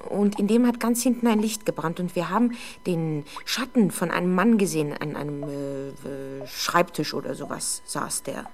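A woman's voice: fairly low pitch, slight background noise, natural voice. Woman acting a frightened, defensive teenage girl. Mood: haunted, agitated. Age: young adult. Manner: hesitant, halting, exasperated when doubted. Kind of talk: 1970s German radio drama acting.

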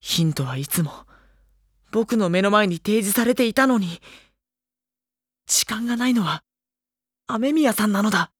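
An actor playing an earnest, brooding teenage schoolboy in a Japanese audio drama, questioning and narrating with dramatic pauses. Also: male voice, high-pitched, lively intonation, slightly rough, dry recording, clean studio sound, full character voice. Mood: anguished realization.

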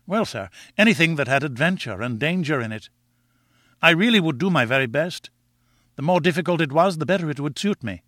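A man's voice: on the high side, lively intonation, fairly quick, a bit bright, dry recording, studio quality, pitched above the performer's own voice. A man voicing an ardent, earnest young reporter who speaks deferentially in an audiobook. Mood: eager, earnest. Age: young adult. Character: eager, ardent young male reporter, twenty-three. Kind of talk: audiobook character dialogue.

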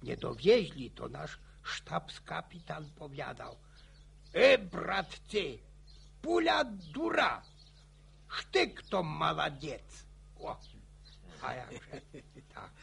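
An actor playing an old village grandfather in a radio play, spinning boastful war yarns with a gravelly, stammering, chuckling voice. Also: a little high, sing-song, very slow, pauses often, fairly bright tone, heavily acted. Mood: boastful reminiscing. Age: older. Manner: chuckling folksy yarn-spinning. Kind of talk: radio drama acting.

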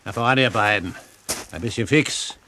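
An actor playing a commanding, menacing old pirate captain in a radio play, giving clipped, imperious orders. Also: male voice, on the low side, moderate pace, sharp tone, a little hiss or hum. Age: older.

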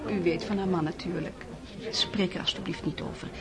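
An actress playing a gushing, gossipy aristocratic society hostess in a radio drama, effusive and theatrical. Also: female voice, lowish voice, fairly even intonation, fairly quick, lightly acted. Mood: confiding. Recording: murmuring party crowd behind.

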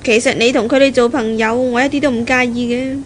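A high young female voice comes through a slightly noisy 1970s radio drama recording. An actress plays a proud graduate fiancée, poised and assertive, with a coolly questioning way of speaking. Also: steady tone, a little fast, lightly acted. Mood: probing, defensive. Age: young adult.

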